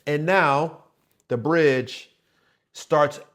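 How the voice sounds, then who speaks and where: A man's voice: a little high, extremely slow, fairly bright tone. A man hosting a casual songwriting tutorial, talking to viewers in a conversational, encouraging and explanatory way. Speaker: host, worship songwriting teacher.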